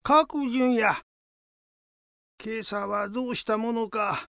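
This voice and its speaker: A man's voice: high voice, slow pace, vocal fry, pushed and bright, no room sound, heavily acted. A man playing an old Buddhist head priest in a radio drama, fussy but fond, with grumbling, old-fashioned elderly speech. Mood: weary.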